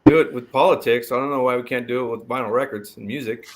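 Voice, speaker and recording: A man's voice: medium pitch, fairly even intonation. Agreeable man chiming in on a call. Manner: agreeable, chiming in with quick affirmations. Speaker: panel guest. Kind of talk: casual panel chat.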